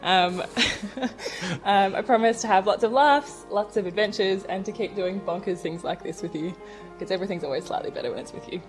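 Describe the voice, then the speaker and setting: A woman's voice: mid-range voice, moderate pace, soft background music. A woman speaking informally and playfully as the bride in a mock wedding. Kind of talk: informal, playful vows and chat.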